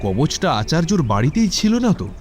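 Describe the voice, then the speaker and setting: A man's voice: on the high side, big pitch swings, normal speed, faint music behind. An actor playing a curious, skeptical young male college student in an audio drama, with an inquisitive manner. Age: young adult. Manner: questioning, inquisitive.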